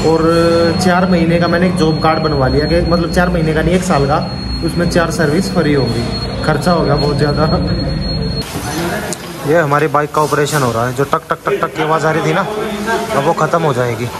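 A chatty man in his thirties narrates his motorbike trip straight to the camera, chuckling now and then. His voice sits a bit high for a man. Loud engine and road traffic noise from a bike-mounted camera nearly swamps him outdoors. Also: fairly even intonation, a little fast. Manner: chatty, talks to camera while riding, chuckles often. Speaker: motorcycle travel vlogger. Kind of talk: casual travel vlog narration. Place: outdoors on a busy highway and at a roadside workshop. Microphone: helmet or bike-mounted action camera. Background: road traffic and vehicles.

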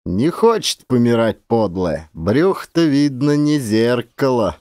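A man's voice: medium pitch, animated, slow, a little mellow. A man voicing a shiftless, surly peasant horse herder in a dramatized audiobook, grumbling with colloquial scorn. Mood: scornful grumbling.

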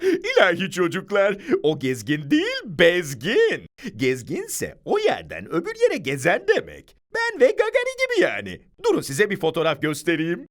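A woman's voice: fairly low pitch, wildly swooping pitch, normal speed. A woman voicing a jolly, boastful wandering traveller with a theatrical, showy manner in a children's cartoon.

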